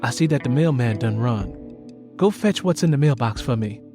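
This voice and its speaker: A man's voice: mid-range voice, expressive, normal speed, soft background music, natural voice. A man voicing a firm mother who calls out orders to her children in an audiobook story. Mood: firm, insistent. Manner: commanding, calling out loudly. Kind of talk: audiobook character acting.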